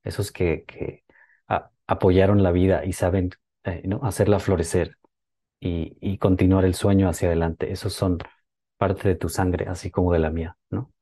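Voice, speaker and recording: A man talking gently about healing, hesitating often and ending many phrases with tag questions. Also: low-pitched, flat delivery, normal speed, no echo. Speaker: workshop speaker. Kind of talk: talk on ancestral healing.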